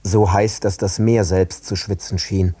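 A man narrating literary prose for an audio drama in measured, separate short phrases. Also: sits low, little pitch movement, mellow, slightly rough, dry recording. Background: prominent music.

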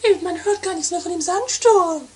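A woman's voice: very high-pitched, normal speed. A woman playing a naive, chatty, argumentative sister in an amateur eighties comedy radio play.

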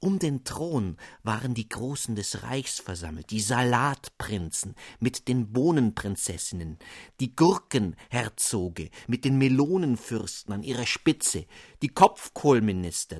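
A man narrating a fairy tale in a measured, expressive way. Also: medium pitch, dry recording, clean studio sound.

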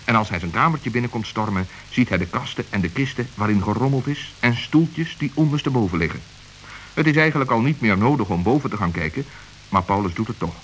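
A man narrating a children's radio play in a calm, even storytelling manner. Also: lowish voice, a little fast, slight background noise, rough recording.

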